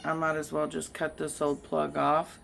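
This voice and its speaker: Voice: mid-range voice, monotone, moderate pace, creaky, fairly bright tone, slight background noise. A vlogger who has lost their voice to illness, chatting casually while showing an old find and thinking aloud. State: hoarse from illness. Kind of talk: casual show-and-tell chat. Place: outdoors by a home.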